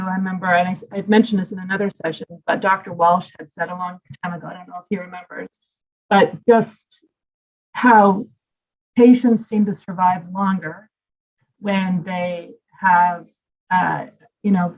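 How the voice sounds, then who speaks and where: A woman hosting a conference, giving an informal spoken introduction in a halting, hesitant way, in dull low-fidelity audio. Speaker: conference host introducing a speaker.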